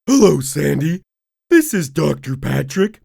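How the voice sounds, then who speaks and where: Woman voicing dim starfish buddy: raised pitch, booming, slow, dopey, dry read. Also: big pitch swings, creaky, dark tone, breathy edge, no echo, heavily acted. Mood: dopey, loud. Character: dim-witted friendly male starfish, loud.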